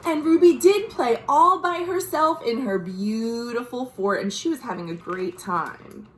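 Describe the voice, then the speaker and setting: A woman's voice: a little high, a little slow, a little hiss or hum. A woman librarian reading a picture book aloud for children at home, speaking expressively and talking to the kids directly. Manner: warm, expressive, addresses children. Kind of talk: virtual storytime read-aloud.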